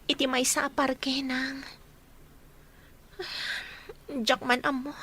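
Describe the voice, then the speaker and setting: Radio drama: jealous young daughter, very high pitch, sharp tone. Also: female voice.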